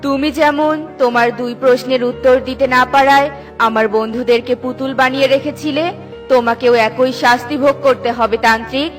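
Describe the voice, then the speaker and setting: A woman voicing a calm, stern good fairy in a children's cartoon, speaking in a measured, commanding way. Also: high-pitched, soft background music, voice pushed down, close to an everyday voice. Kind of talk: children's cartoon story acting.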